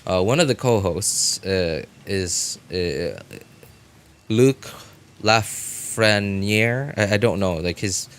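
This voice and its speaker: A man giving casual live game commentary as a streamer, rambling and halting, often breaking off mid-thought to react. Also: on the low side, clean tone.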